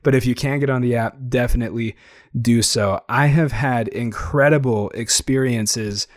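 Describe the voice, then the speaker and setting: A young man hosting his own podcast, talking casually and eagerly with a slightly hoarse, tired voice. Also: mid-range voice, moderate pace, no echo, studio quality. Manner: chatty, enthusiastic, frequent fillers. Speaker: podcast host. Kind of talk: casual solo podcast monologue.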